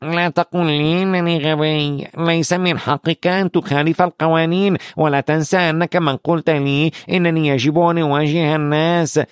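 A man's voice: on the high side, fairly even intonation, brisk, hardly any pauses, smooth, no echo, clean studio sound, voice pushed up, natural voice. A man voicing a timid but stubborn schoolboy who insists in a strained, pleading way. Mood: insistent.

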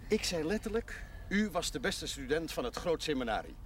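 A high, very animated male voice as a jovial parish priest in a TV drama. He is shrewd and mock-solemn, with slight noise on the soundtrack. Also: normal speed. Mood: teasing, mock-solemn. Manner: theatrical, mock-solemn, teasing. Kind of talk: television drama acting.

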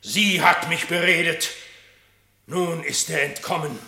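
An actor playing a stern, aging lion king in a German radio play, speaking slowly and solemnly. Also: fairly high pitch, steady tone, vocal fry, bright, forceful tone, full character voice. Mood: angry. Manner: slow, solemn, brooding. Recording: echoey studio room.

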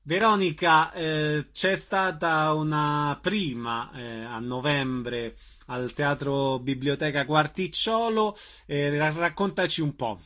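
A man's voice: fairly high pitch, takes it slowly, bright, forceful tone. A man hosting a conversational radio interview, introducing guests and asking questions.

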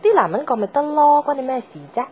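An actress playing a blunt, sarcastic young office worker in a radio drama, dry and offhand. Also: female voice, sits high, animated, fast talker, darkish tone. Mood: offhand, dismissive. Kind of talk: Cantonese radio drama dialogue. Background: background music.

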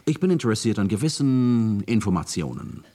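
A man's voice: on the low side, very animated, a little fast, warm tone. An actor playing a cynical male corporate spy, mocking in tone and slipping words into his talk. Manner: mocking, mixes English phrases into German. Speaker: industrial spy. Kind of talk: radio drama acting.

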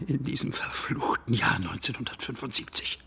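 A man acting in a radio drama as an older, guilt-ridden small-town police chief, halting and agitated. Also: medium pitch, creaky, pushed and bright, slightly rough, full character voice. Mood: halting confession. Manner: halting, agitated, breaking into pleading.

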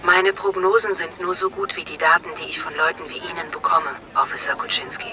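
An actress playing a polite, dispassionate prediction computer in a science-fiction radio drama. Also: female voice, lowish voice, fairly quick, far from the mic, background noise, natural voice. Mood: polite, even. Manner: clipped, matter-of-fact announcements. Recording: loud music under the voice.

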